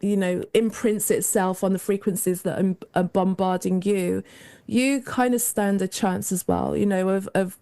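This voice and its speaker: A woman's voice: medium pitch, fairly even intonation, moderate pace, dark tone. A woman with a young-sounding voice, a guest in a casual interview, speaking reflectively in long flowing thoughts. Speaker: guest, mentor to creative women.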